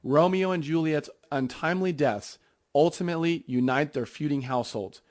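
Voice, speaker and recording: A man's voice: a little high, normal speed, a little mellow, no echo, studio quality. A man working as a relationship coach, co-presenting a scripted self-help audio talk.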